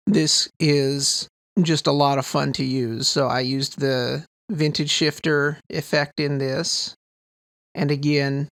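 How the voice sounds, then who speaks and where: A man with a fairly high voice and noticeable vocal fry walks through a tutorial in an informal, explanatory way. He sounds keen on his gear, and the voice is recorded close and very dry. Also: no room sound. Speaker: sound designer presenting a tutorial. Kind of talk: casual explanatory tutorial narration.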